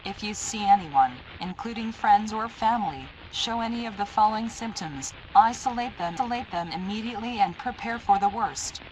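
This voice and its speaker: A synthetic female voice playing a stern, impersonal emergency alert announcer, reading formal, deliberate warnings in an analog horror broadcast. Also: mid-range voice, darkish tone, slight background noise, lightly acted, rough recording. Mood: grave, commanding.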